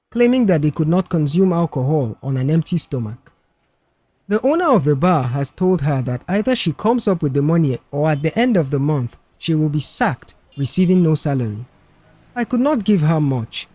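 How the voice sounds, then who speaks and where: A man's voice: on the high side, very animated, moderate pace, warm tone. A man voicing a weary, chatty middle-aged male mechanic and proud family man in an audiobook, talking earnestly. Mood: sympathetic. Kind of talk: audiobook character dialogue.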